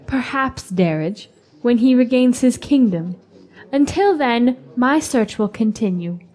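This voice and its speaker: A woman's voice: on the high side, lively intonation, measured pace, a little mellow. A woman playing a haughty, ambitious young village beauty in a fantasy radio drama, with an airy manner. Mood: dreamy, haughty.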